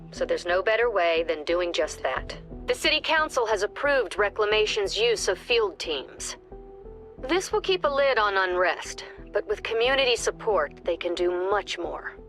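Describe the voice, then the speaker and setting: Actress playing a composed agency director, music behind. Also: female voice, mid-range voice, lightly acted. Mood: businesslike. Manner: formal, measured. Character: stern, composed female director of a security agency. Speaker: squad director giving mission briefings. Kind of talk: scripted game briefing.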